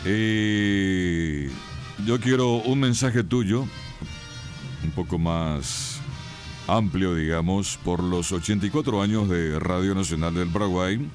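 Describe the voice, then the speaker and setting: A man hosting a radio interview, speaking in a measured way and praising his guest. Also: low voice, faint music behind. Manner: measured, warm praising speech. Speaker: radio host.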